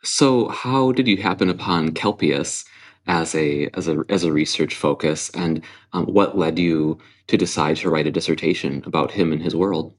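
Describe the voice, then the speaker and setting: A man's voice: low-pitched, normal speed. A man hosting a podcast in a formal, articulate and courteous way, reading introductions and putting questions to a guest. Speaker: podcast host. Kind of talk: scripted introduction and interview questions.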